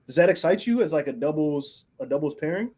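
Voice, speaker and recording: Man, casual sports co-host, warm dark tone, slangy, laid-back. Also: fairly high pitch, quick pace, breathy edge. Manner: relaxed, slangy, calls everyone bro. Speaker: podcast co-host.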